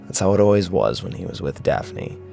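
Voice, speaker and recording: A man's voice: low voice, sing-song, a little fast, vocal fry, dark tone, faint music behind. A man narrating a scripted horror story in a dramatic style.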